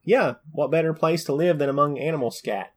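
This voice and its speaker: A man's voice: on the high side, brisk, husky. A man co-hosting a podcast, chatting casually and rambling with many fillers. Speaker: podcast co-host. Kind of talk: casual chat.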